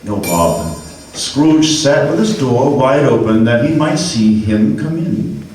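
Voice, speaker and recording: A man's voice: medium pitch, animated, slow pace, darkish tone, slight background noise. A man narrating a classic story and addressing the audience as producer of a radio broadcast. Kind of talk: scripted narration. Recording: echoey room, far from the mic.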